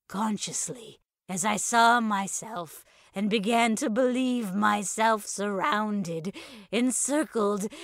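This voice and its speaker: A woman acts a wise, cryptic mystic woman in an audio drama. Delivery is slow and solemn at a middle pitch, with a slight rasp and a dry, close sound. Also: no room sound. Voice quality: slightly raspy. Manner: measured, solemn, cryptic storytelling. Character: Zelda, wise, raspy, unflappable woman mystic. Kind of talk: audio fiction acting.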